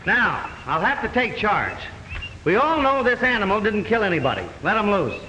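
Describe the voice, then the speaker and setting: A brash, wisecracking radio detective. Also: male voice, high voice, sing-song, moderate pace, dull audio. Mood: commanding. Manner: breezy, commanding, wisecracking. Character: brash young male detective, takes charge. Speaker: detective lead. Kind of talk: 1940s radio drama acting. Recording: old radio recording with hall reverb and faint hiss.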